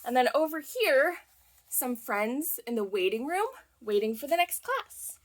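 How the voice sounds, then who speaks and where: A woman reading picture books aloud to young children as a storytime host, with an expressive, deliberate delivery that leans on key words. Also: high voice. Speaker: children's librarian hosting a storytime.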